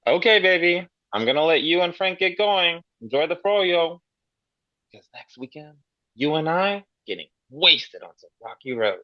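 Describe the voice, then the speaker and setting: A man's voice: fairly high pitch, big pitch swings, normal speed, dry recording. Brash foul-mouthed man, read by a man. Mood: teasing. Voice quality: crude, swaggering banter. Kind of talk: amateur table read. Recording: slightly dull lo-fi.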